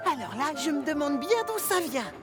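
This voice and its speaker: Bright-eyed little engine, high lively voice. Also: female voice, normal speed, loud music behind the voice. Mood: curious. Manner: friendly, youthful, curious. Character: friendly, helpful little cheeky male tank engine. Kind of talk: children's animated series dub.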